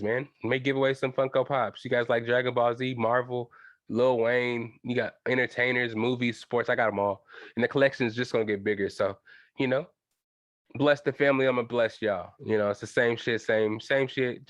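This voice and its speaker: A man's voice: medium pitch, fairly even intonation, a little fast, a bit bright, no echo. A young man with a stuffy nose hosting his own podcast alone, chatting loosely in slang and swearing, talking straight to his listeners. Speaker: podcast host. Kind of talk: casual solo podcast chat.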